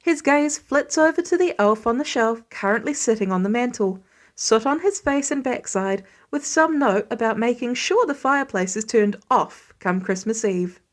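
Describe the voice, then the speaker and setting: A woman's voice: a little high, expressive, normal speed. A woman reading a scripted story aloud as an audiobook narrator. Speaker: fan fiction audiobook reader.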